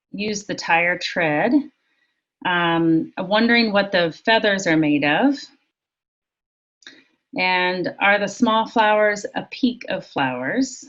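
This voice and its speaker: A woman co-presenter on a video call, reading participants' chat comments aloud one after another with short pauses. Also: fairly low pitch, fairly bright tone. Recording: dull lo-fi video call audio.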